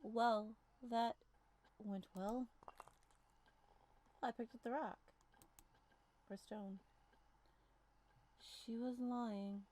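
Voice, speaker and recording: A woman's voice: fairly high pitch, fairly even intonation, very slow, pauses often, mellow, a little hiss or hum, rough recording. A woman streaming a game, giving casual commentary and reading bits of the text aloud.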